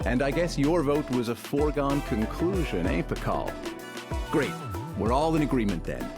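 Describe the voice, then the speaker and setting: A laid-back game leader, practical and persuasive, bouncy intonation, music under it. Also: male voice, medium pitch, sing-song, normal speed. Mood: upbeat. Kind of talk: video game voice acting. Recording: background music behind voice.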